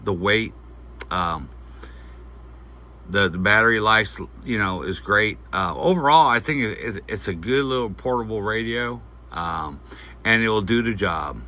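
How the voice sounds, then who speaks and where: A man giving a casual, unscripted product demonstration, talking in a halting, folksy way as he thinks aloud. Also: lowish voice, pushed and bright. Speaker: host of a gear review channel. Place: indoors at home at night. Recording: faint background noise.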